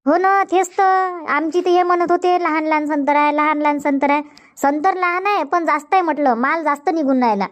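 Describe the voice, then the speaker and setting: A woman's voice: sits high, brisk, lightly acted. A woman playing a shrewd, outspoken farmer's wife in a cartoon comedy, blunt and emphatic, with a Varhadi Marathi accent from Vidarbha. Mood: excited. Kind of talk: dubbed cartoon comedy dialogue. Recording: dull lo-fi audio.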